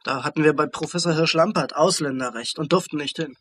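Higher-pitched, reluctant young police trainee played by a man. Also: fairly quick, fairly bright tone, a little hiss or hum, close to an everyday voice. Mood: reluctant, irritated. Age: young adult. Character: injured, reluctant young police trainee, irritated by his mother. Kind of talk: radio crime drama acting.

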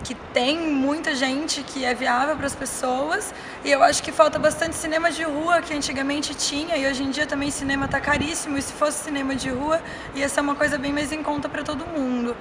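A woman's voice: sits high, fairly even intonation, moderate pace, few pauses. A young Brazilian woman in her twenties giving earnest, opinionated answers in a street interview at an outdoor station. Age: 20s. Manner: earnest, opinionated, lists examples. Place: outdoor train station platform. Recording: outdoor background noise.

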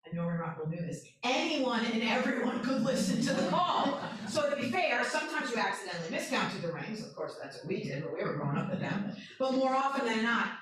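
An American woman with a fairly high voice presents in a chatty, conversational style and often turns to her listeners with questions. The recording is distant, with strong room echo. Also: big pitch swings, a little fast, few pauses, a bit bright. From northeastern USA. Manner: chatty, engages the audience with questions. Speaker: co-presenter at a museum talk. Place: echoey indoor presentation room. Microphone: far room camera or microphone. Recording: very echoey room, distant mic.

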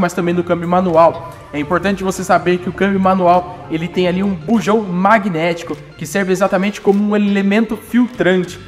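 A young man presenting car maintenance tips in a brisk, insistent explanatory way, often repeating key points, recorded on a phone camera in a workshop. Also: on the high side, big-room sound. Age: young adult. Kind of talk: explanatory how-to talk. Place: indoor auto workshop.

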